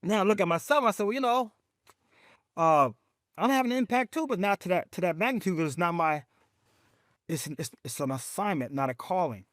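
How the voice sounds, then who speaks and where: Emphatic, animated veteran advocate man. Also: a little fast, no room sound, clean studio sound. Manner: emphatic, animated storytelling, speaks of faith; rising pitch when excited. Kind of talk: podcast conversation.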